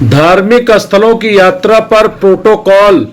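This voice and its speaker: A man reading a scripted news explainer in a formal, measured way, in short phrases. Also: high-pitched. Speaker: news narrator.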